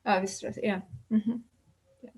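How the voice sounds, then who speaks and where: A woman's voice: medium pitch, fairly even intonation, unhurried, a little mellow, husky. A woman learning English, asking questions casually in a language class and tentatively checking what she has understood.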